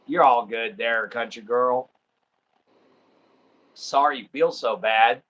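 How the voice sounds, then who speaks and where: A man with a Texan drawl talks loosely to his viewers, wandering from thought to thought with plenty of ums. His voice has a bright, forward edge at an ordinary middle pitch. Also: takes it slowly, muffled recording. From Southeast Texas. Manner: rambling, easygoing, many ums and uhs. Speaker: livestream host. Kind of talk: casual chat.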